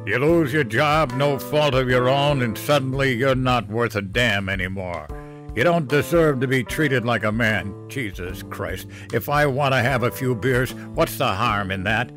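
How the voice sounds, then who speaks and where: A man's voice: medium pitch, expressive, unhurried, a bit bright, soft background music. A male narrator voicing a drunk, bitter, out-of-work father who slurs and growls. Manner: slurring, growling, sneering. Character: drunk bitter unemployed father, middle-aged man.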